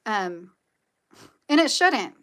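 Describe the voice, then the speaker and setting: Lady w a nasal voice, kinda high, rambly opinionated livestream chatter. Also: sing-song, drawn-out words, fairly bright tone. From Kentucky, United States. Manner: chatty, rambling, opinionated, many fillers like "like" and "you know". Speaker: livestream host reading viewer comments. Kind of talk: casual livestream chat.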